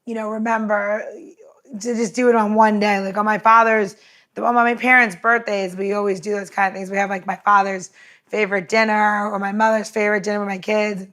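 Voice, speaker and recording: A woman's voice: mid-range voice, level tone, fairly quick, a bit bright. A woman in casual podcast chat, rambling with lots of filler words.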